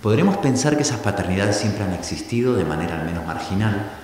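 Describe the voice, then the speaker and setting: A middle-aged man from Argentina giving a reflective public talk, thoughtful and halting, often restarting his sentences. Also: on the low side, lively intonation, a little mellow. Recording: echoey hall recording from a distance with faint hiss.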